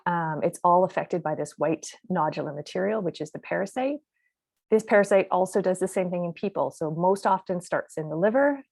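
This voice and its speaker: A woman researcher giving a measured, explanatory webinar talk over a video call. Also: lowish voice, creaky, a little mellow, no echo. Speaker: researcher presenting. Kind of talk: webinar presentation.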